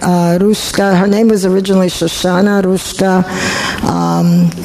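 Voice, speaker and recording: A woman in her eighties from Poland, thinking back in an oral history interview with many pauses and restarts. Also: fairly low pitch, little pitch movement, vocal fry, warm tone, slight background noise, low-quality recording. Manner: reflective, halting, frequent restarts.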